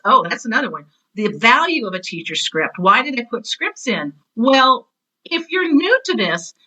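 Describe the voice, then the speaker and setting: A woman's voice: fairly high pitch, bright, forceful tone, poor audio. A woman speaking conversationally as an interview guest, explaining her teaching work emphatically and voicing imagined questions and replies. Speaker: podcast guest, drama teacher and author of teaching materials.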